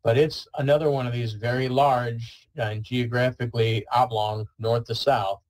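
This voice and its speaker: A man's voice: medium pitch, steady tone, normal speed, poor audio. A man giving an informal webinar presentation, explaining things in a conversational way as a weather service presenter.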